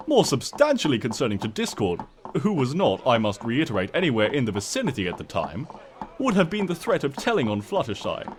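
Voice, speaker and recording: A man's voice: medium pitch, wildly swooping pitch, brisk, slight background noise. A man voicing a smug, mock-innocent trickster creature who narrates a comic storybook tale in a pompous, wry, theatrical way.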